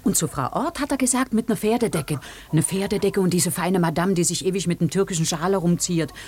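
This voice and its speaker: Sharp-tongued village gossip. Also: female voice, fairly low pitch, fast, breathy edge. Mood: mocking. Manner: mocking, chatty. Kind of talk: German radio drama acting.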